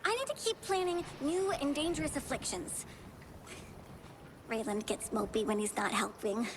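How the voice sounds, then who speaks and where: Game role: zealous villainess devoted to plants, female actor, high voice, earnest, sweetly dangerous. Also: moderate pace, close to an everyday voice. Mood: eager, fanatical. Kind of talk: video game character acting. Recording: game audio with clear ambient noise.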